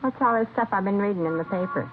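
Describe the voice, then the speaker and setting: An actress playing a chatty, helpful American drive-in waitress with a casual, familiar manner in a 1950s radio drama. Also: female voice, mid-range voice, quick pace, narrow, tinny audio, lightly acted. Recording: thin, slightly noisy old radio recording.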